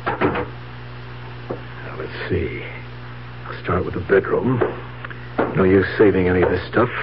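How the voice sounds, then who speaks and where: A scheming, low-voiced radio drama nephew. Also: male voice, slow. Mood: muttering to himself. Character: scheming greedy nephew feigning grief, coolly calculating. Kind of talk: 1970s radio drama acting. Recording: noisy, muffled 1970s radio recording.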